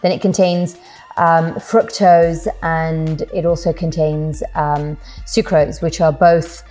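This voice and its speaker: A middle-aged woman with a British accent talks through health advice in an informative, step-by-step way, with plenty of fillers along the way. Her voice sits a little low and sounds mellow and warm. Soft music plays faintly behind her. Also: little pitch movement, takes it slowly. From Britain. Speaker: guest health expert. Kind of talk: explanatory interview talk.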